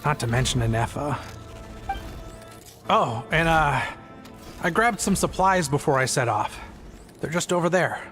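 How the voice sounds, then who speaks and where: A man's voice: fairly high pitch, expressive, fairly bright tone, faint music behind. A man voicing a weary, apologetic family man in a video game, hesitant and resigned. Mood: weary, wistful. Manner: halting, sighing, hesitant.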